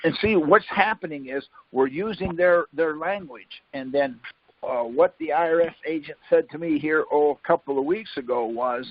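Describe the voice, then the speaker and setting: A man's voice: a little high, moderate pace. A man hosting a conference call, talking in a rambling, self-correcting way, often saying "see?" and "um". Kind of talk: casual explanatory talk. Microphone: phone line. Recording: muffled phone-call audio.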